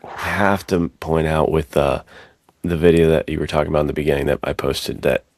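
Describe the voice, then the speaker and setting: A man's voice: very deep voice, fairly even intonation, fairly quick, darkish tone, no room sound, studio quality. A man co-hosting a podcast, chatting casually and slangily with a friend. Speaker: podcast co-host. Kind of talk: casual chat between friends.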